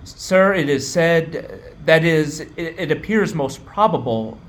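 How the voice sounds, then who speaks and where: Man playing a stammering, cowering court astrologer, higher-pitched. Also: lively intonation, slow. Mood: terrified, fawning. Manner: stammering, obsequious. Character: cowering, fawning male court astrologer, terrified flatterer. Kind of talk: amateur radio play acting.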